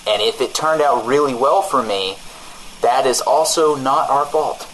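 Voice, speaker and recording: A man's voice: mid-range voice, unhurried, a bit bright, rough recording. Male radio comedy sidekick, ordinary register, slippery and righteous banter, slight background noise. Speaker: radio co-host.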